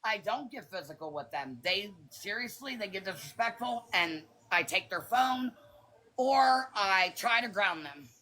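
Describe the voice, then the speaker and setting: Raspy-voiced woman, bright and blunt. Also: medium pitch, animated, a little slow, rough recording. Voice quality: raspy smoker's voice. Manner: defensive, blunt. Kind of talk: heated live chat.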